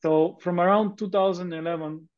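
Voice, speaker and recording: A man's voice: high-pitched, fairly even intonation, moderate pace. Man on a muffled line. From Bulgaria. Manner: hesitant, many fillers, earnest. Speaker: guest entrepreneur. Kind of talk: podcast interview.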